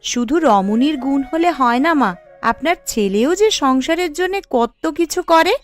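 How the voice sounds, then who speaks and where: A woman voicing a gentle, caring village mother and dutiful daughter-in-law in a cartoon, attentive and homely. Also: high voice, expressive, normal speed, faint music behind. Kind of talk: Bengali family cartoon voice acting.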